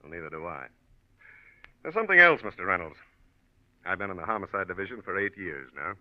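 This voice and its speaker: An actor playing a dry, probing American homicide lieutenant in a 1940s radio drama, folksy and deliberately offhand as he questions. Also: low voice, sing-song, no room sound. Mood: probing, skeptical. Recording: muffled old radio recording.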